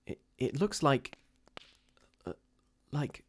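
A man's voice: medium pitch, very animated, rapid-fire, a little mellow, voice pushed up, natural voice. A man voicing a proud married woman gripped by horror, exclaiming in dread in a story. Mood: stammering dread. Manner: exclaiming, horror-stricken, stammering when shaken.

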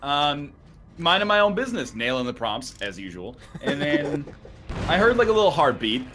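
A man's voice: fairly high pitch, very animated, moderate pace, pushed and bright. A man bantering on a gaming voice chat, telling stories in a comically aggrieved, ranting way. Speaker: gamer on a group voice chat. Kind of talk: casual banter while playing a video game.

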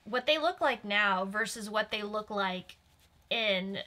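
A woman's voice: medium pitch, expressive, slow pace, sharp tone. A young woman giving casual commentary on a TV episode as a longtime fan. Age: young adult. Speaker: reaction show guest and longtime fan. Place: small cosy room indoors.

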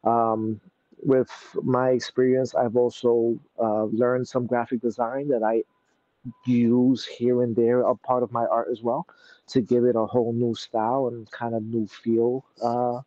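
A man in his thirties with a New York accent, chatting casually as an interview guest, relaxed and rambling with many fillers. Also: medium pitch, measured pace, dry recording, lo-fi sound. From Brooklyn, New York. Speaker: guest artist. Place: outdoors in a city courtyard.

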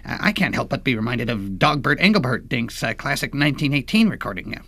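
Satirical radio comedy: long-winded, self-important male critic, rough-edged voice, lively pitch. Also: brisk, no room sound. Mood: gushing. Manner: pompous, florid, hesitant. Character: pompous, effusive, long-winded male classical music critic. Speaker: panel music critic.

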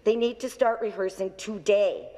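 A woman's voice: medium pitch, steady tone, dark tone, long reverb tail. Woman reading a question in chamber. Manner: measured, reading from notes. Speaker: opposition member of a legislature. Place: large legislative chamber. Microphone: desk microphone.

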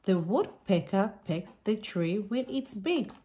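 A woman reading a picture book aloud for small children in simple, deliberate sentences. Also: medium pitch, lively intonation, mellow.

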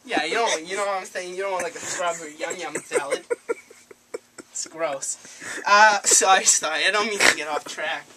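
A man's voice: high voice, animated, moderate pace. A young man making a prank phone call in a rambling, hesitant, overfamiliar way, recorded by a handheld camera in a small room. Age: young adult. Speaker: prank caller. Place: small cluttered room indoors. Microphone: handheld camera a few feet away. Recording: noisy amateur camera audio, clicks.

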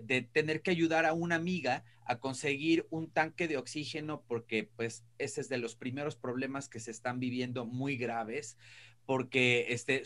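A middle-aged man with a Mexico City accent talks casually as a co-host. His voice is high and forceful, with a sharp edge, and he phrases things in an expressive, slangy way, often repeating himself. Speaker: show co-host. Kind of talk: casual panel chat. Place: indoors, video call.

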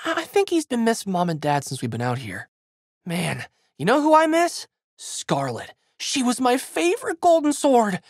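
A goofy teen boy sword hero for a video game, super animated, dude slang, dry close recording. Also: very high voice, moderate pace, dry recording, full character voice. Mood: upbeat, chatty. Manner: exuberant, slangy surfer-dude boyishness. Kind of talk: video game character voice lines.

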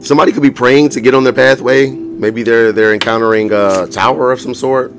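A hoarse man giving a casual tarot reading to viewers, blunt and profane, often laughing as he talks. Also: mid-range voice, fairly even intonation, brisk. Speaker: tarot reader. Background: music.

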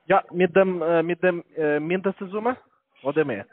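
A man with a foreign accent speaks in a fairly high voice over a thin, tinny phone line. He works through a sales pitch as a call-centre salesman, haltingly searching for his words as a non-native speaker. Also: no room sound. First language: not German. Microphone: telephone.

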